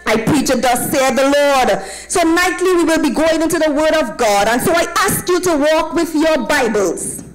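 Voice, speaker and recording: A woman's voice: high voice, expressive, normal speed, hardly any pauses, faint music behind, rough recording. A Trinidadian woman evangelist addressing a congregation through a handheld microphone, often asking listeners to respond. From Trinidad and Tobago. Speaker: evangelist, guest preacher. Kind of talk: preaching, welcoming a congregation. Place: tented church platform with draped backdrop.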